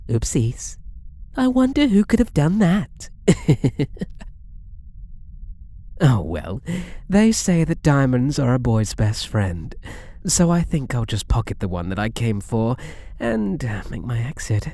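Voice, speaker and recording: A man's voice: medium pitch, big pitch swings, slow pace, long pauses, warm tone, dry recording, studio quality. Man playing a coy, swooping British catboy thief. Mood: smug, playful. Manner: coy, playful, mock-innocent purring taunts. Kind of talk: ASMR roleplay acting.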